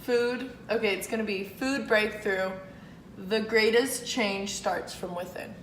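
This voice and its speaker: A woman's voice: medium pitch, a little slow, echoey room, slight background noise, low-quality recording. A young woman co-hosting a casual chat, mostly chiming in with short agreeing remarks. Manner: brief, agreeing interjections. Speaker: co-host.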